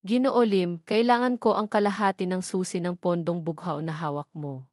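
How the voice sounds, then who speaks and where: A woman's voice: on the low side, steady tone, normal speed, darkish tone, dry recording, clean studio sound, natural voice. A woman voicing a cold, commanding schemer who gives terse, imperious orders in an audio drama. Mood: calculating.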